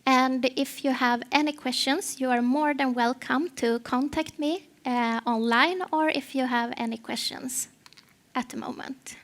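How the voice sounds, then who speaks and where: A woman's voice: high-pitched, fairly even intonation, measured pace. A woman with a Swedish accent, a non-native English speaker, giving a conference presentation with frequent hesitations. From Sweden. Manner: hesitant, searching for words in a second language.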